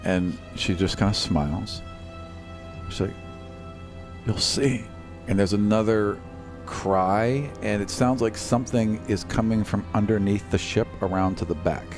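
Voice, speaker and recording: A man's voice: on the low side, unhurried. A man narrating a tabletop role-playing game as game master, conversational and descriptive, feeling for his phrasing as he goes. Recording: loud music bed under the voice. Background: background music.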